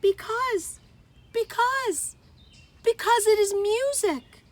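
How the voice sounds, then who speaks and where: A woman voicing an excitable young female mouse in a children's story, with pleading, emphatic exclamations. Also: extremely high pitch, slow, pitched above the performer's own voice. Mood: flustered, insistent.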